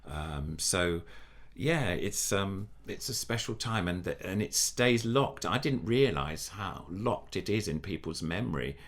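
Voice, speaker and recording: Older male guest, very low nasal voice, rambling enthusiastic talker. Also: expressive, a little slow, hardly any pauses. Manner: rambling, enthusiastic, many restarts. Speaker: puppeteer guest. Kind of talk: casual interview chat.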